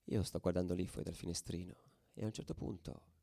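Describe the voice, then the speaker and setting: A man's voice: deep voice, fast talker, vocal fry. A man acting as a combative football ultra in a radio play, telling his story in colloquial, dramatic style. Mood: tense recollection. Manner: colloquial, swinging from hushed to shouting. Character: angry, combative male football ultra recounting street battles. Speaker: actor narrating a monologue.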